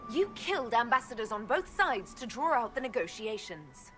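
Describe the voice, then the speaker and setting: An actress playing a resolute, commanding heroine in a fantasy game, firm and measured. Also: female voice, on the high side, very animated, pushed and bright, faint music behind. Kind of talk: fantasy video game acting.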